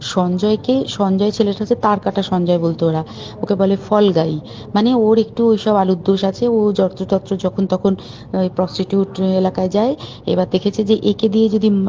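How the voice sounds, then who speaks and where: A woman's voice: mid-range voice, steady tone, normal speed, warm tone. A woman on a private phone call, talking informally and urgently with open indignation. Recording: muffled phone call recording with faint hiss.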